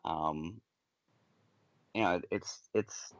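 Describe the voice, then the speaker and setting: Low-voiced man ranting with stammers. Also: drawn-out words, dry recording, muffled recording. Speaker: podcast co-host. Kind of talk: casual podcast chat.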